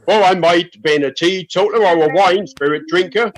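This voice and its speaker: An actor playing an exuberant beer-loving village amateur singer, hearty and encouraging, in a radio comedy. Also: male voice, sits high, a little fast, music in the background, lightly acted. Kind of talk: radio comedy drama acting.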